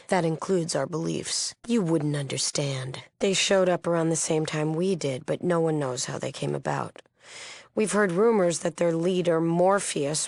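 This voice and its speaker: A principled woman leading a peace movement in a post-apocalyptic game. Her voice is lowish with a gravelly creak, recorded very dry. She sounds earnest, formal and firm. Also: no room sound. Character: earnest, resolute female leader of wasteland peace movement. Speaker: game character. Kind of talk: scripted video game dialogue.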